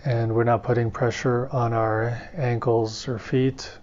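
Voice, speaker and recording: A man leads a guided meditation as a teacher, speaking gently and without hurry. His mid-pitched voice stays level, with very little rise and fall. The recording is slightly dull and lo-fi. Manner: calm, gentle, unhurried. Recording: dull, muffled lo-fi audio.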